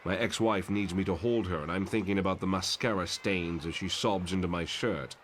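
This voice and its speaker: A very deep-voiced, disillusioned professor acted by a man in a comic drama. He is articulate and brooding, weighing every phrase with self-doubt, over faint noise. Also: normal speed, fairly bright tone. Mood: bitter self-reproach. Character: disillusioned male mutant professor, weary, self-doubting idealist. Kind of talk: motion comic voice acting.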